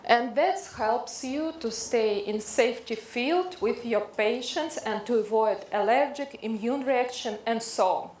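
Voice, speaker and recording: Slow, echoey Ukrainian-accented woman lecturing. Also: on the high side, a little mellow. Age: middle-aged. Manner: halting, hesitant non-native phrasing with frequent searching for words. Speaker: dermatologist lecturer. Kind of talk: introductory medical lecture to an audience. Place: indoor lecture room. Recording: echoey room with faint background noise.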